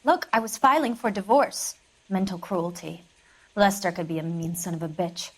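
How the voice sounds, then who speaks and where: An actress playing a hard-bitten, defensive 1940s American widow in a video game, curt, dry and unsentimental. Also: female voice, medium pitch, normal speed, husky, low-quality recording. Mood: bitter, defiant. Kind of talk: dramatic video game acting.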